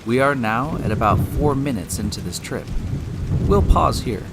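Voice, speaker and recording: A man hosting and narrating a scripted science story in a deliberate, explanatory way, speaking directly to the listener. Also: lowish voice. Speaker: podcast host and narrator. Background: music.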